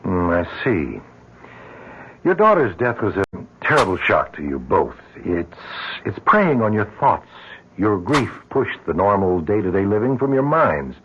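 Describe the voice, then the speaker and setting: A man playing a rational doctor in an old radio drama, reasoning in a measured, patronizing way. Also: lowish voice, very animated. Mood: patronizing reassurance. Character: rational, condescending male doctor, patiently probing. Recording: muffled, noisy vintage radio recording.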